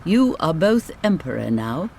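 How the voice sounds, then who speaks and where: Storyteller as commanding fairy-tale lord: formal, measured, grave, with swooping intonation and some gravel. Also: sing-song, darkish tone. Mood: solemn. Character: Lord Grath, grave commanding adult nobleman, the dead Emperor's brother. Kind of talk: bedtime fairy tale character reading. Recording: clear background rain and rumble noise.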